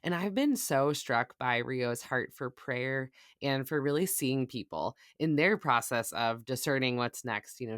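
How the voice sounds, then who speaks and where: A woman's voice: a bass voice, fairly bright tone, no echo, clean studio sound. A woman co-hosting a podcast, introducing a guest and asking affirming, thoughtful questions. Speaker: podcast co-host. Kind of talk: podcast interview.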